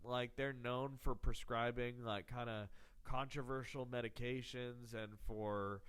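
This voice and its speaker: A man's voice: medium pitch, fairly even intonation, a little slow, a bit bright, smooth. Nasal, congested man rambling sarcastically. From Texas. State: congested from illness. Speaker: podcast host. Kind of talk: casual comedic monologue.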